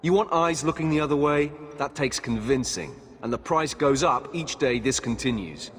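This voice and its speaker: An actor playing a gruff, weary guard captain in a video game, blunt and dismissive with an air of authority. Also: male voice, medium pitch, lively intonation, normal speed, a bit bright, close to an everyday voice. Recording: long hall reverb, background ambience noise.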